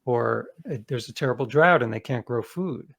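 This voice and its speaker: An American man speaking as an interview guest, explaining things conversationally and earnestly, often halting and rephrasing as he goes. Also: mid-range voice, creaky, fairly bright tone.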